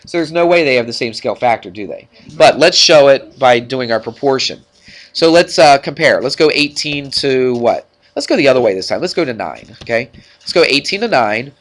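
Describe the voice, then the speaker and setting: A man's voice: mid-range voice, lively intonation, a little fast, a bit bright. A man with a stuffed-up nose teaching math to a class, talking informally and checking in with frequent questions. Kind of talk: classroom worked-problem lesson.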